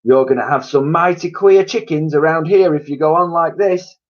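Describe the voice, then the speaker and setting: Anxious fretful mother, put on by a man: high, worried, pleading; dull lo-fi recording. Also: normal speed, lightly acted.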